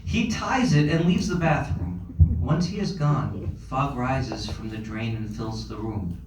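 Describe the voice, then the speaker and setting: A man's voice: medium pitch, lively intonation, measured pace. A man narrating stage directions from a script at a live comedy table read. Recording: echoey room, distant mic, noisy.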